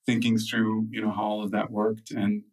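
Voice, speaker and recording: Low, flat-voiced man telling stories. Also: normal speed, distant mic, rough recording. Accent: American. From United States. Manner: loose, filler-heavy, with frequent restarts. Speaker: guest. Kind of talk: relaxed interview storytelling.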